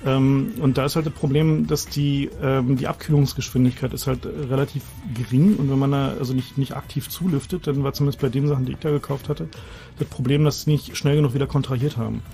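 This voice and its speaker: Guy talking fast with a gravelly, mellow voice, bit of music in the back. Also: medium pitch, fairly even intonation. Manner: fast, hesitant with fillers. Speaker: audience member asking questions. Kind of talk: casual technical discussion.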